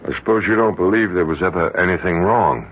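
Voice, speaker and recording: A man's voice: low-pitched, fast talker. An actor playing a worried middle-aged father with an earnest, questioning manner in an old-time radio drama. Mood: anxious, probing. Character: worried, probing father of a grown daughter. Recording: muffled old radio recording.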